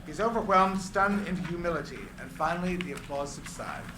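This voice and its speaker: A man reading screenplay stage directions as narrator, with deliberate descriptive phrasing. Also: fairly high pitch, lively intonation, over a noisy crowd. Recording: echoey room, clear background noise.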